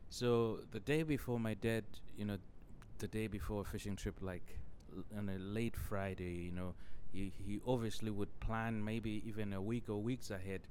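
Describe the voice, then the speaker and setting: A man's voice: fairly low pitch, fairly even intonation, normal speed, hardly any pauses, darkish tone, slight background noise. A man telling a childhood story on his own podcast, rambling and saying "you know" constantly. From Zimbabwe. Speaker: podcast host. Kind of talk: casual solo podcast storytelling.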